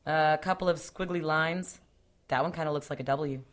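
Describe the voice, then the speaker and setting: A woman's voice: deep voice, normal speed, lightly acted. A woman playing a tough police detective in an audio drama, dry, curt and commanding. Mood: impatient. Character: tough no-nonsense female police detective, sarcastic boss.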